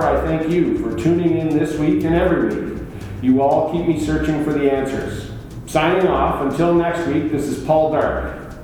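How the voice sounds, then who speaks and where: A man's voice: fairly high pitch, a little mellow, close to an everyday voice. Echoey mysterious midnight radio host, acted by a man. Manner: solemn, florid. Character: mysterious late-night paranormal radio host, theatrical. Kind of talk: late-night mystery radio sign-off. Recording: very echoey, hissy hall.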